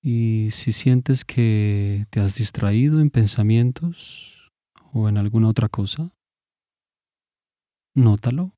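A man leading a guided mindfulness meditation in a calm, measured, instructive manner. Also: lowish voice, dry recording, clean studio sound.

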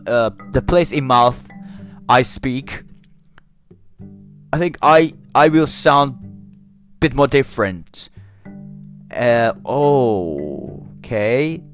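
A man whose first language is Japanese, speaking English with a Japanese accent, talking slowly and thoughtfully to camera with many restarts and fillers. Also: mid-range voice. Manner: slow and thoughtful. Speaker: English learner. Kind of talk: reflective solo talk.

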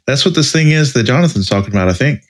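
A man speaks in a medium-pitched voice as a podcast co-host. His talk is casual and informal, with a mildly complaining edge as he chats among friends. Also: expressive, very fast. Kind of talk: casual chat.

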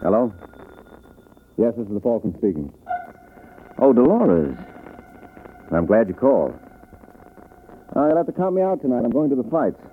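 An actor playing a suave detective in a 1950s radio drama, breezy and teasing. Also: male voice, medium pitch, very animated, moderate pace, pauses often, natural voice. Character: suave charming male detective. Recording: tinny old radio broadcast with faint hiss.

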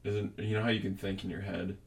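A man's voice: low voice, level tone, fairly quick, far from the mic. A young man in casual conversation, rambling and arguing his points with plenty of profanity. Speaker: podcast host. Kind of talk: casual chat.

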